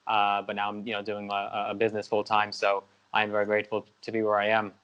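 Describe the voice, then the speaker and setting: Flat-voiced young man, smooth tone. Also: on the low side, fast, muffled recording. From United States. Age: young adult. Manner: steady, lots of "uh" and "you know". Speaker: guest. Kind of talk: interview answers.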